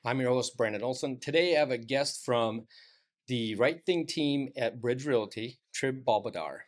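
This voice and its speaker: Man, medium-pitched voice, podcast host, relaxed, friendly, lots of fillers. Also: normal speed. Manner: relaxed, friendly, filler-heavy questions with many "right" and "like". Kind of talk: casual podcast interview.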